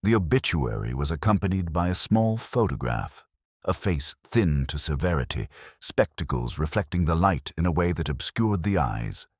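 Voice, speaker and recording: A man with an extremely low, husky voice narrates a detective tale. His delivery is measured and formal, with a brooding tone and a pitch that moves noticeably up and down. The sound is dry and close, free of room. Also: sing-song, no room sound. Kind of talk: literary first-person detective story narration.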